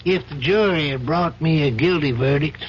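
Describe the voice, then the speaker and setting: An older judge played by a man in a vintage radio play, compassionate and paternal. His voice sits a little high, and he reasons in a measured, probing way. The recording is dull with faint noise. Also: natural voice. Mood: earnest, admonishing. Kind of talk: old-time radio drama acting.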